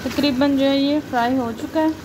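High-voiced woman guiding a recipe. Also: normal speed, noisy background. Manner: calm, instructive, points things out to viewer. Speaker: cooking channel host. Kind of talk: step-by-step recipe voice-over. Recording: loud frying sizzle behind voice.

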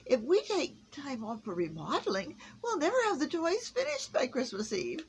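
Small nervous elf foreman, male, high and pleading, stammers, read by a woman. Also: wildly swooping pitch, normal speed, slight background noise. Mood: anxious. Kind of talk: storybook reading.

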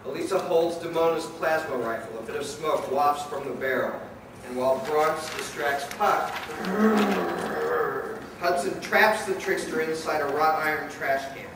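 A man's voice: fairly high pitch, unhurried. Male radio play narrator, very echoey hall. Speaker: narrator and director. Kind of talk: dramatic scripted narration of live radio play. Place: event hall before an audience. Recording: very echoey, distant, noisy live hall.

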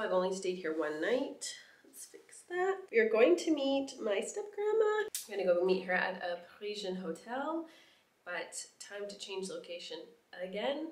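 A young woman from Canada with a cold and a congested voice, chatting upbeat to viewers while vlogging at arm's length. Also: medium pitch. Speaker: travel vlogger. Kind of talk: casual travel vlog. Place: on the go outdoors, airport and hostel room. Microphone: handheld camera at arm's length.

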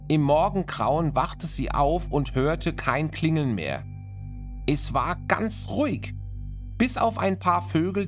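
Male narrator for scary tales, slightly higher voice, unhurried dramatic delivery, prominent music underneath. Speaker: horror story narrator. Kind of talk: scripted horror narration in German.

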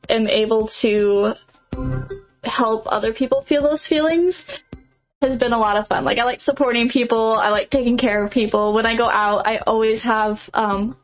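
A young adult woman from Nebraska, answering interview questions in a chatty way with lots of fillers and restarts. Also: on the high side. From Nebraska, USA. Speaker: podcast guest. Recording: dull lo-fi audio.

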